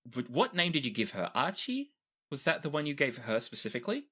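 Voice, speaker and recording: A game master with a mid-pitched voice and very animated intonation narrates a tabletop adventure. The delivery is conversational and descriptive, improvised as it goes. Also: a little fast.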